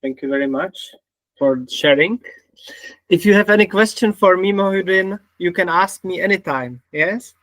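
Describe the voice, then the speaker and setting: Czech-accented man hosting, slightly high voice. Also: moderate pace, fairly bright tone, dry recording, rough recording. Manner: repeats himself, simple phrasing. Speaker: podcast host. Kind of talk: casual interview talk.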